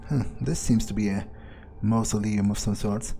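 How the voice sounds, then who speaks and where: A man's voice: on the low side, fairly even intonation, moderate pace, creaky, dark tone, a little hiss or hum. A man thinking aloud in character while playing a video game, musing and casually profane. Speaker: let's-play gamer speaking in character.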